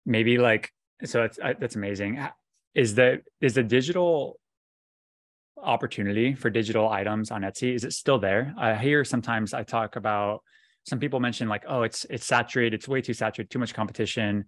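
A man's voice: mid-range voice, a little fast, no room sound. Casual male podcast host, dry close sound. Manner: informal, rambling questions. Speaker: podcast host interviewing a guest. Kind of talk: casual interview chat.

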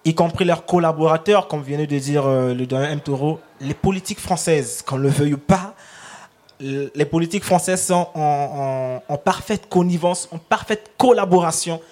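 A man's voice: a little high, normal speed, a little mellow. A man with a Congolese accent, a native Lari speaker and slam poet, explaining with emphasis and frequent repetition. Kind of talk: slam recital and explanatory talk.

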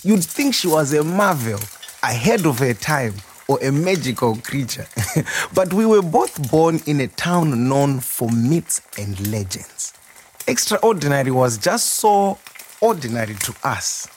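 An actor playing a proud, warm restaurant owner reminiscing in a theatrical storytelling monologue. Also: male voice, on the high side, measured pace, slight background noise. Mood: proud, fond. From Zimbabwe. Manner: animated, chuckling, theatrical storyteller. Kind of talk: dramatised monologue, storytelling to a customer.

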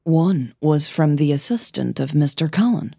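A woman with a soft, breathy voice, a podcast host who narrates her own audio fiction, over music. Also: low-pitched, animated, normal speed, no echo, studio quality. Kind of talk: scripted story narration with casual host outro. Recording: music bed under the voice.

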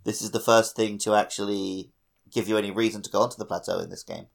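A man's voice: on the low side, steady tone, quick pace, gravelly. A man co-hosting a podcast, chatting casually about a video game. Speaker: podcast co-host.

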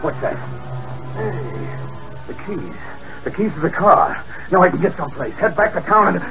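A man's voice: high-pitched, big pitch swings, moderate pace, music in the background, poor audio. A slangy tough-guy gangster. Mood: scheming. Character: tough streetwise male gangster henchman, boastful. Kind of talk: 1940s radio acting. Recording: hissy old radio broadcast.

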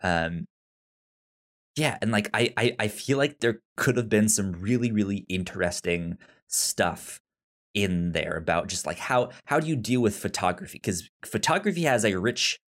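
A man with a fairly low voice talks as a podcast co-host in a loose film discussion. He is halting and rambling, often doubling back to restart his phrases. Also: expressive, fairly bright tone.

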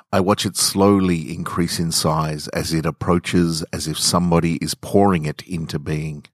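Deep voiced guy hosting a story podcast, reflective and chatty, really dry sound. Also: a bit bright, no echo. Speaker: podcast host. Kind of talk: podcast intro and literary story reading.

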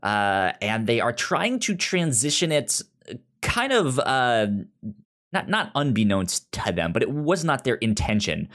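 Male podcast host, casual review chat, noticeable stammer, lively intonation. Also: mid-range voice, unhurried. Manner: stammers, repeating first sounds.

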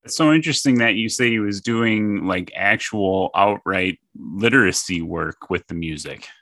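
Male interviewer, very deep but bright voice, curious and probing, dry close sound. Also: moderate pace, no room sound. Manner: curious, probing, long questions. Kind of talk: interview questions.

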